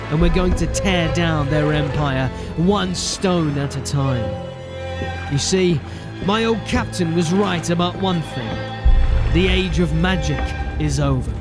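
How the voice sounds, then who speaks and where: An actor playing a wry, resolute city watch sergeant in a fantasy audio drama. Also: male voice, on the high side. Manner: commanding, wry, grimly determined. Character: gruff, resolute male city watch sergeant turned captain. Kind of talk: audio drama acting and first-person narration. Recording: music behind the voice. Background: music.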